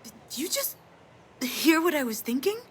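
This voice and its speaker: A woman's voice: high voice, big pitch swings, measured pace, a bit bright, exaggerated voice. A woman playing a weary, grieving, introspective mother with a wry edge in a dramatic video game. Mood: distressed, confused.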